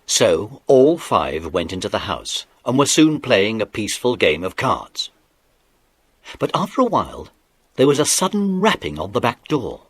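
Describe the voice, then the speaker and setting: A man's voice: on the low side, very animated, moderate pace, a little hiss or hum. A man playing the narrator of a children's adventure audio drama. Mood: storytelling. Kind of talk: scripted children's story narration.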